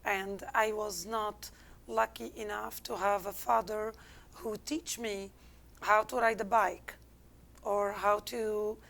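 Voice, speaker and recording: A woman's voice: mid-range voice, steady tone, takes it slowly, vocal fry, a bit bright. Algerian-accented woman telling her story. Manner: candid, reflective, non-native English phrasing. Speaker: interview guest. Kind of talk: personal storytelling in an interview.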